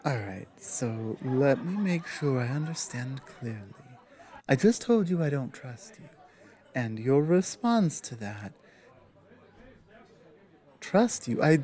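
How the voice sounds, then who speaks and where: Man playing a slow, stern minotaur bouncer. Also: mid-range voice, darkish tone. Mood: wry, firm. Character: huge, stern minotaur tavern security guard, confident and teasing. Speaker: audio roleplay performer. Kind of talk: ASMR roleplay, in-character monologue.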